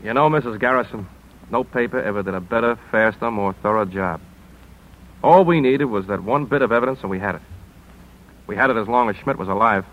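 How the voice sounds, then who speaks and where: An actor playing a hard-driving, wry American newspaper editor in brisk, clipped 1950s radio drama style. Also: male voice, on the low side, dull audio, lightly acted. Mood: wry, weary. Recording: vintage 1950s radio broadcast.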